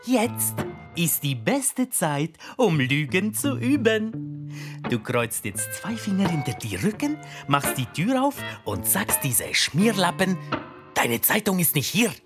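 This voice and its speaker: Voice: mid-range voice, big pitch swings, slow, music in the background, pitched above the performer's own voice. A performer voicing a sly, scolding Italian mother with an Italian accent, hissing bossy orders in a children's story. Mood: exasperated, scheming.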